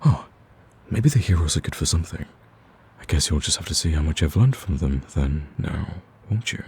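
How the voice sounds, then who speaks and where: A man playing a smitten, cocky villain in a romantic ASMR roleplay, with a husky, very deep voice and a smooth-talking, teasing, pleading manner. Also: animated, moderate pace, a little mellow, a little hiss or hum. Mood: flirtatious. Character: smitten male villain, cocky, persistent, wooing.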